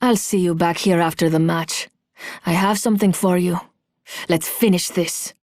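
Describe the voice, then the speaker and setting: A woman's voice: on the low side, steady tone, moderate pace, noisy background, lightly acted. An actress playing a cool, vengeful woman thief with a cutting manner.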